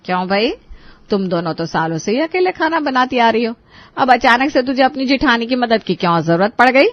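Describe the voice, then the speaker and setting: A woman's voice: on the high side, lively intonation, brisk, dry recording, highs cut off, lightly acted. A woman playing a bossy, stern older Indian mother-in-law in a cartoon family drama, scolding and giving emphatic orders. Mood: grudging, questioning.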